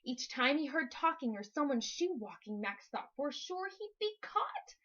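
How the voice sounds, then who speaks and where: A woman reading a rhyming children's story aloud in an expressive narrating style. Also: sits high, measured pace, muffled recording. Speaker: storybook reader.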